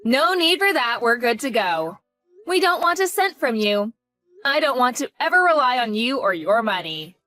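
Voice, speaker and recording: A woman's voice: on the high side, big pitch swings, normal speed, bright, forceful tone, dry recording, faint music behind. A woman playing a protective, worried mother. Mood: cold. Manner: firm, worried, pleading. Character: protective, anxious single mother. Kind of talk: dramatized story acting.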